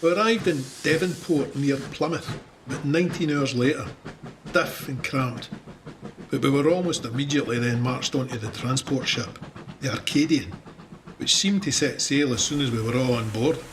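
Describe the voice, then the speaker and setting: A man's voice: mid-range voice, moderate pace, noisy background, lightly acted. An actor with a Scottish accent playing a wry, plain-spoken cavalryman recounting his war in a dramatised memoir. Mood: wry, reflective. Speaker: narrator of an audio drama. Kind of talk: dramatised first-person memoir narration.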